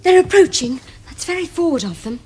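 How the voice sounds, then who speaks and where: Very high-voiced prim girl. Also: big pitch swings, fairly quick, warm tone, slight background noise. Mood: prim indignation. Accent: upper-class English. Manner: prim, earnest, precise. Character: prim, romantic young English girl of society. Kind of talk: period television comedy acting.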